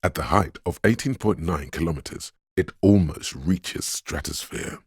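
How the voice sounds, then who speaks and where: Very deep, husky encyclopedic inner voice. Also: male voice, dry recording, full character voice. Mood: measured, knowing. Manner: measured, dry, scholarly delivery of facts. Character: erudite, worldly inner voice of encyclopedic knowledge, male. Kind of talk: scripted game narration.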